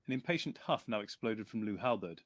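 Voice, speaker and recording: A man narrating an audiobook in a measured storytelling manner, with lively character voices. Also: lowish voice, no echo. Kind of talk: reading an audiobook aloud.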